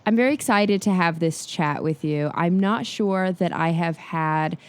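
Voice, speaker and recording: A woman's voice: fairly low pitch, a little slow, slight background noise. A woman hosting a podcast, asking long, careful interview questions in a friendly way. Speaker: podcast host. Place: Los Angeles.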